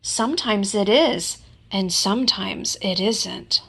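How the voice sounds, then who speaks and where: A woman's voice: medium pitch, slow pace, a bit bright, breathy edge, heavily acted. A woman playing a naive, friendly, honey-loving toy bear with an innocent, wondering manner in a storybook. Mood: puzzled.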